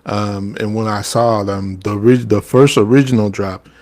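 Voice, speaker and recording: A man's voice: lowish voice, steady tone, normal speed, darkish tone. A man hosting a podcast, chatting in an easygoing, agreeable way. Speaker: podcast host. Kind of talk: casual chat.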